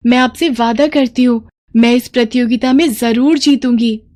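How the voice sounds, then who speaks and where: Woman voicing an earnest, high-voiced schoolgirl. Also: steady tone, normal speed, a little mellow, lightly acted. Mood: determined. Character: eager, earnest dark-skinned schoolgirl runner.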